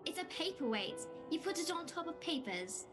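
A woman's voice: very high voice, big pitch swings, normal speed, over music. A woman playing a sweet, earnest young girl, somebody's little sister, in a radio play. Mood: eager.

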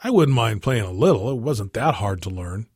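Man voicing storybook older businessman, mid-sixties, jovial, folksy, emphatic, husky, dry sound. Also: on the low side, expressive, normal speed, darkish tone, no echo. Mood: animated, joking. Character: jovial 65-year-old businessman twin, game but joking. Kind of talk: storybook dialogue.

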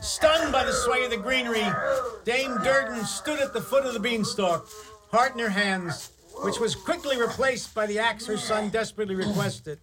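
High-voiced male storyteller, theatrical and wry. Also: normal speed, over a noisy crowd. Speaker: narrator. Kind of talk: dramatic storybook narration. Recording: clearly noisy background.

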